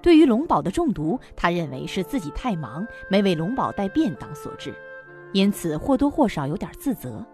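A woman's voice: medium pitch, very animated, moderate pace, darkish tone, soft background music. A woman reading scripted narration for a suspense audio drama.

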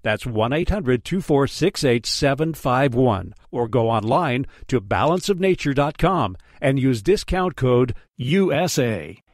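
A man's voice: mid-range voice, lively intonation, unhurried, dry recording, clean studio sound. A man reading a scripted radio advertisement as its announcer.

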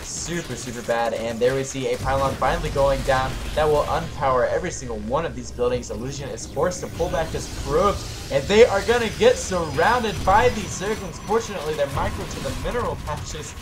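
A man casting a strategy game match live, a little hoarse from losing his voice, calling plays with rising excitement. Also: a little high, fairly quick, few pauses, over music, poor audio. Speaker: esports caster.

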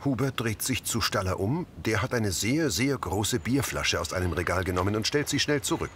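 A man's voice: on the low side, lively intonation, moderate pace, a bit bright, a little hiss or hum. A man narrating scripted scene descriptions in short, concise present-tense sentences.

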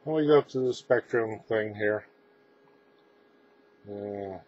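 Male demo presenter, low pitch, plain halting delivery, casual and unscripted, muffled camera sound. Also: darkish tone. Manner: halting, matter-of-fact explaining. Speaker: presenter demonstrating homemade radio equipment. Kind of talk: casual unscripted equipment demonstration. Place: indoors, small room with radio gear. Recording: dull lo-fi camera audio, clear background noise.

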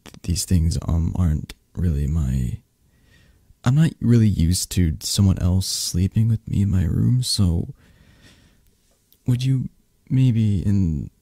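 A man playing a possessive mafia boss in a romantic ASMR audio roleplay, speaking haltingly with sighs and pointed pauses in a low, breathy, husky voice. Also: animated, mellow, no echo, clean studio sound, exaggerated voice. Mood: sleepy, awkward concern. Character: possessive, menacing young mafia boss, softening into awkward tenderness.

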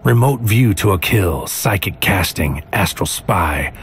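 An actor playing a grim, intense psychic soldier who narrates a sci-fi audio drama in clipped, cryptic, incantatory fragments. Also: male voice, sits low, animated, unhurried, slight background noise. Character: male psychic soldier on a mission into another's mind, grim, intense. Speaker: narrator and lead character. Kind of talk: scripted sci-fi audio drama, spoken-word monologue.

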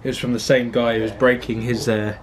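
A man's voice: mid-range voice, level tone, brisk, a crowd in the background. A young man with a British accent chatting casually as a car vlogger on a handheld camera. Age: young adult. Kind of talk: casual vlog chat about working on a car. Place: garage and driveway. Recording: noisy handheld camera audio.

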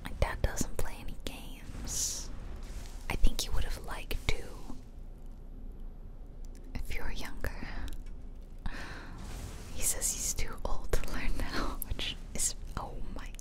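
A woman whispering gently and chattily in ASMR style close to the microphone as she hosts a game playthrough. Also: slow pace, long pauses. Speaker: gaming video host. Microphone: close microphone, ear to ear.

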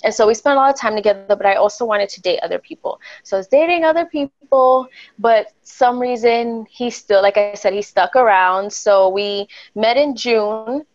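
A woman's voice: on the high side, moderate pace, no room sound. A woman in her twenties, born in the Dominican Republic and raised in the Bronx, telling stories as a guest on a video-call podcast in a candid, bubbly way with frequent laughs, speaking into an earbud mic at home. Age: 20s. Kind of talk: casual storytelling on a video call. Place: small home bedroom indoors. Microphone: wired earbud mic on a video call. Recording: dry, dull lo-fi video call audio.

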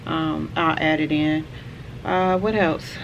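A woman in her forties, a vlogger, chatting casually to camera on a house porch, relaxed and rambling with lots of fillers. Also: low voice, slow pace, lo-fi sound. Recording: outdoor camera audio with clear background noise.

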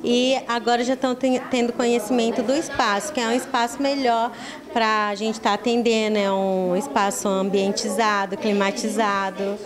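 A woman's voice: on the high side, flat delivery, moderate pace. A middle-aged woman with a Brazilian accent giving an explanatory interview as a pharmacy staff member, sometimes repeating words. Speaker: municipal pharmacy staff spokesperson. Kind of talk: interview answer. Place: small busy pharmacy office, indoors. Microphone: handheld reporter's microphone. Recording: busy room chatter behind.

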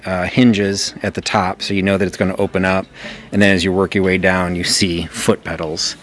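Low-voiced chatty man browsing antiques. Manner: chatty, thinking aloud with fillers and small laughs. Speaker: antiques reseller vlogger. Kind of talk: casual shopping vlog narration. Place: indoors in an antique auction shop.

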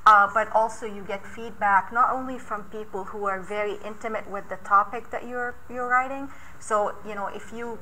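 A woman's voice: medium pitch, fairly even intonation, moderate pace, pushed and bright, slight background noise, rough recording. A woman scientist on a panel in a conference room, explaining at length and using many "you know"s. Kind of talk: panel discussion.

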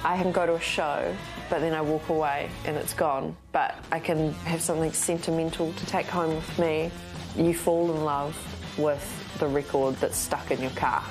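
A woman's voice: sits low, level tone, normal speed, music in the background, rough recording. A young woman talking casually as a musician being interviewed. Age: young adult. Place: small indoor room.

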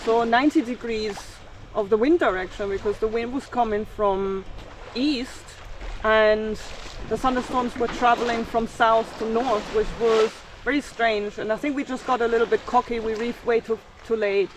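A woman's voice: a little high, steady tone, normal speed, distant mic. A woman in her forties recounting a sailing passage to camera in a chatty, matter-of-fact way, outdoors on a boat at sea. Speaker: sailing vlogger. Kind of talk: casual vlog narration to camera. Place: outdoors on a sailboat deck at sea. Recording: wind and water noise behind.

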